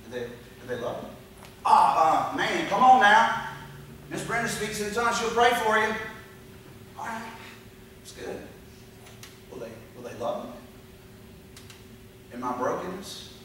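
A man's voice: high voice, sing-song, unhurried, pauses often, a bit bright, a little hiss or hum. Man, pastor, emphatic repetitive sermon, handheld mic, roomy hall, distant camera. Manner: emphatic, repetitive preaching with long rhetorical lists. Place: dark church stage with projection screen. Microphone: handheld mic, filmed from a far camera. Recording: echoey church hall from far off.

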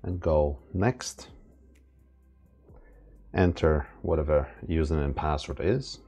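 A man narrating a casual step-by-step product setup tutorial in a plain, halting instructional way. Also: extremely low pitch, dark tone. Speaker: product demonstrator. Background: background music.

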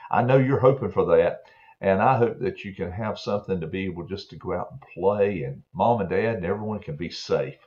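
Older preacher telling children a Bible story. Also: male voice, on the low side. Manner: slow, earnest, many "uh" fillers, throat clearing. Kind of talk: gentle storytelling sermon for children. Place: home office, indoors. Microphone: webcam on a desk. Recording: dull lo-fi webcam audio.

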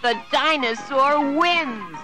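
A man voicing a crowd of excited young children in a children's storybook reading. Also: very high-pitched, slow pace, over music, pitched above the performer's own voice, exaggerated voice. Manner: squealing, excited.

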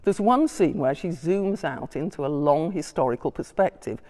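Older female lecturer, measured and wry, distant mic, long church reverb. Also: deep voice, expressive, dark tone. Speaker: guest lecturer. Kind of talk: lecture read from notes. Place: large church, indoors. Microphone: far camera.

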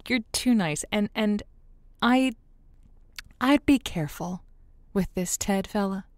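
An actress playing a kind, encouraging woman who hosts an advice call-in show, with a gentle, folksy, reassuring manner. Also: mid-range voice, slow, no room sound, natural voice. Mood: concerned. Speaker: radio advice host. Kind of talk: audio drama as call-in show.

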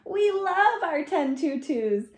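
A young woman reads aloud for small children in a sing-song manner. Her pitch swings widely and she takes her time. The room adds noticeable echo around her voice. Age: young adult. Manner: animated, slow, sing-song, addressing children. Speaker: children's dance teacher reading picture books. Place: small furnished room indoors. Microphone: camera a short distance away.

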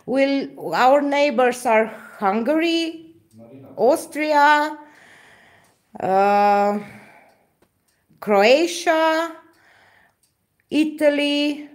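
A woman from Slovenia speaks English with a Slovenian accent, very slowly and a little high. She talks in a halting, casual way, often pausing to search for words. She is recorded indoors at a craft table by a camera mic above it. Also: lively intonation. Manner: halting, searches for words, frequent fillers and laughs. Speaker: acrylic pouring artist hosting a live stream. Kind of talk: casual live-stream chat reading viewer comments.

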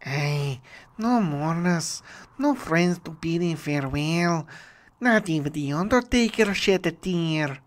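A man voicing a gloomy old gravedigger who mutters slowly and quietly. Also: a little high, lively intonation.

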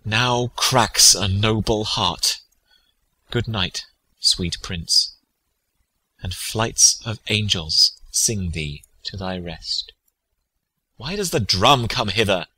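A man's voice: fairly low pitch, animated, slow, long pauses, sharp tone, slightly rough, no echo, exaggerated voice, low-quality recording. A man playing a prince's loyal, grieving friend in a classical tragedy, speaking in a solemn, declamatory way. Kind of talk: classical stage tragedy, dramatic audiobook reading.

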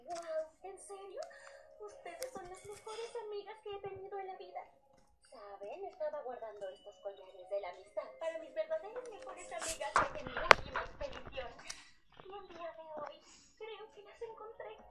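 A woman's voice: very high voice, moderate pace, background noise. A woman voicing a scheming young girl archaeologist in a cartoon, who sweet-talks and then bosses others around with gloating glee. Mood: sweet, pleading. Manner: sweet-talking turning bossy and gloating. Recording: cartoon sound off a TV, filmed by phone in a room.

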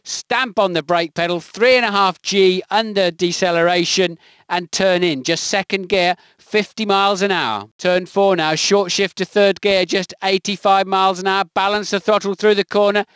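A man's voice: high-pitched, fairly even intonation, moderate pace, a bit bright, clean tone, dry recording, clean studio sound. A man delivering scripted motor racing commentary for a video game in clipped, emphatic phrases. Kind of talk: scripted race commentary voice clips.

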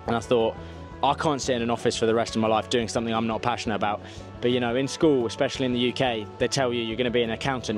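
Flat-toned man over music. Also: mid-range voice. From London. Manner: earnest, repeats "you know". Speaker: fitness coach, interviewee. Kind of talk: casual interview. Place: indoor beach café. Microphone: handheld mic. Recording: music playing behind.

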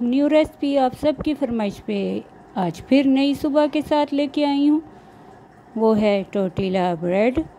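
Slow-paced woman, noisy recording. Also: on the high side, dark tone. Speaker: cooking vlog host. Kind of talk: recipe voice-over narration. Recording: clear background noise, homemade recording.

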